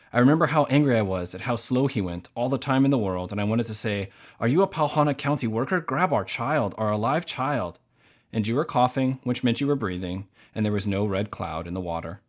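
A man speaking as a novelist guest in a measured, thoughtful way. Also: mid-range voice. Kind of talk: literary reading and thoughtful interview answers.

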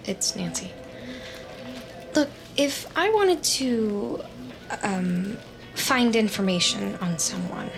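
A woman's voice: medium pitch, lively intonation, creaky, music in the background. An actress playing a lonely, nosy, awkward woman who works as a data analyst, in a scripted audio drama. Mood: awkward, evasive. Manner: awkward, halting, wry, muttering to herself. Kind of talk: scripted audio drama, dialogue and inner monologue.

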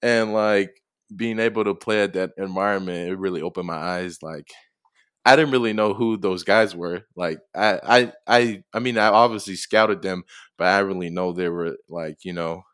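A man's voice: sits low, normal speed, a bit bright, clean tone, dry recording, studio quality. A young man from Tonga giving casual, somewhat hesitant interview answers as a college football player. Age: young adult.

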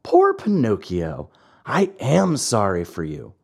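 A woman voicing a wise old male cricket who lectures in a calm, breathy voice. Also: a bass voice, slow, full character voice. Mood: lecturing.

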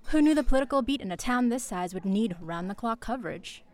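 A woman's voice: a little high, lively intonation, fast, a little mellow, natural voice. A woman voicing a young newcomer reporter, chipper and self-deprecating, in an audio drama. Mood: upbeat. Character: young woman reporter, new in town, upbeat. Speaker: journalist.

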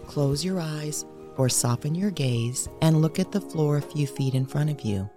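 A woman hosting and leading a guided meditation in a measured, instructional way, reading scripted narration. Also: low voice, over music. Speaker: meditation guide and podcast host.

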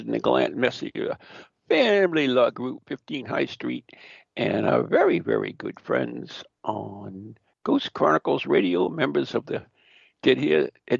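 Radio host, husky, animated delivery, lo-fi dry recording. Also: male voice, mid-range voice, no echo. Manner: lively, chatty, trails off with fillers. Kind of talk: casual radio chat. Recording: dull lo-fi dry audio.

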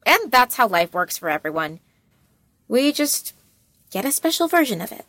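An actress playing a patient, frank woman who gives earnest, gently firm counsel in an audio drama. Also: a little high, sing-song, normal speed, no room sound.

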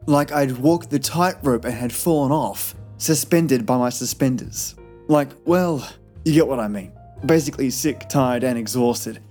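A man's voice: medium pitch, a little slow, darkish tone. A man hosting a podcast, giving a chatty, playful and wry scripted introduction. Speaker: podcast host. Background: faint music.

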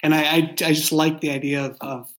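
A man who creates and writes audio drama, chatting casually behind the scenes in a halting, thoughtful way that often circles back. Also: a little high, little pitch movement.

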